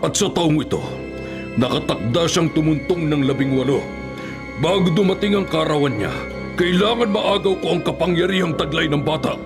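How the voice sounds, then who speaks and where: A man acts out a ruthless king of monsters for radio horror, his voice pitched high and imperious. Dramatic music plays loudly under his menacing commands. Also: moderate pace, lightly acted. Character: ruthless, power-hungry male king of the aswang.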